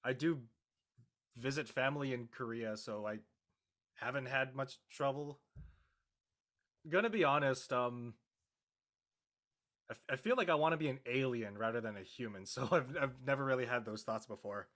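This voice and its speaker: A man's voice: mid-range voice, moderate pace, pauses often, a bit bright. A man in casual discussion, starting sentences hesitantly and stammering a little. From Canada. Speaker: panelist in a post-show cast discussion.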